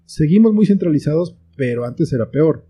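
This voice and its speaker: A man's voice: on the high side, expressive, moderate pace, mellow, breathy edge. A middle-aged man with a Mexican accent, talking casually as the guest in an interview. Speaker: interview guest, writer and film teacher.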